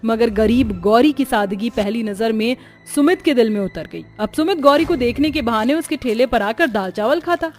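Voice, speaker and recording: High-voiced woman narrating a story. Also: expressive, normal speed, few pauses, darkish tone, faint music behind.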